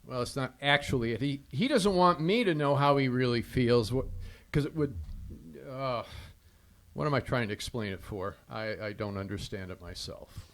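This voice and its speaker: An actor playing a flirtatious, wisecracking singing private detective in a 1940s style radio drama, theatrical and playful on stage. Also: male voice, medium pitch, expressive, normal speed, lightly acted. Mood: flustered explaining. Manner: playful banter, theatrical, bickering. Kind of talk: 1940s radio drama acting with crooned song. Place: live stage performance in a theater hall.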